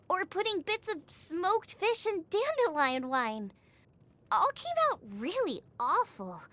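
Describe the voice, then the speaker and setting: A woman voicing a bubbly, eager, food-obsessed young woman chef in an expressive video game style, chatty and full of exclamations. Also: very high voice, heavily acted. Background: music.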